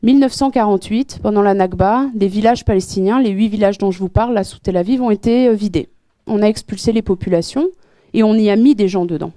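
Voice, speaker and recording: A woman's voice: mid-range voice, fast talker, warm tone, dry recording. A woman giving an explanatory talk with slides as a guest speaker, earnest and full of hesitations and restarts. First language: French. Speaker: guest speaker at a public debate.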